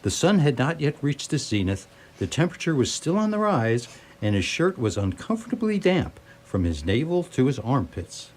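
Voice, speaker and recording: A man's voice: mid-range voice, big pitch swings, normal speed, darkish tone, a little hiss or hum. Guy narrating radio drama, wry storyteller, voice moves up and down a lot. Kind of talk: theatrical radio play narration.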